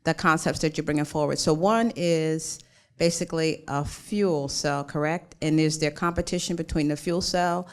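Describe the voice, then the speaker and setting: A woman's voice: low voice, fairly even intonation, normal speed. A woman judging at a conference forum, asking the presenter questions in a friendly, encouraging way. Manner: friendly, encouraging, loses her train of thought. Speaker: forum judge. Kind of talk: panel questioning. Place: conference hall.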